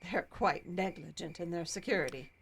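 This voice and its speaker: A woman's voice: fairly low pitch, normal speed, gravelly, a bit bright, a little hiss or hum. An actress playing a well-off small-town woman who is prim and guarded, speaking in a formal, clipped, evasive way.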